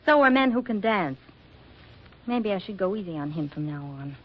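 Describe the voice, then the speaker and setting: A woman's voice: mid-range voice, big pitch swings, moderate pace. An actress playing a sly, wisecracking young woman photographer in a 1940s radio drama, with an arch, breezy, slangy delivery. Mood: wry, reflective. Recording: muffled vintage radio recording, loud music.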